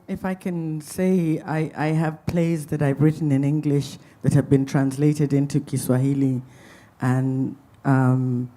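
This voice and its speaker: A man moderating a festival Q&A, a playwright himself, speaking in a measured, gently wry way. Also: mid-range voice. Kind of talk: reflective panel talk.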